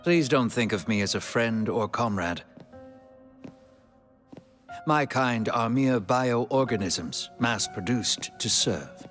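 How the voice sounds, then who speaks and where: An actor playing a dutiful, self-effacing elf scout bred to serve in a fantasy game, speaking formally and politely. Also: male voice, medium pitch, animated, slow, pauses often, fairly bright tone, faint music behind. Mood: solemn. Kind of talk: cinematic video game acting.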